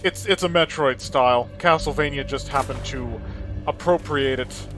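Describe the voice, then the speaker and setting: A man with a mid-range voice commentates on a video game for his viewers. He talks casually, with a playful theatrical streak. Music plays clearly behind him. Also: mid-range voice, normal speed. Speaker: host of a gaming channel. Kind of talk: let's play commentary, casual chat.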